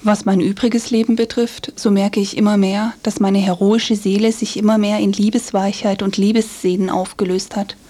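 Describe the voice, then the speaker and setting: A woman playing a melancholy young poet of the 1800s, reading letters gravely and formally for a radio feature. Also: mid-range voice, little pitch movement, moderate pace, hardly any pauses, dark tone, close to an everyday voice. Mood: sober yearning.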